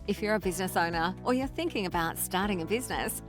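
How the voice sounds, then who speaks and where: A woman reading a scripted podcast intro in a smooth, promotional manner. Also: medium pitch, lively intonation, fast. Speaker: show announcer. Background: music bed.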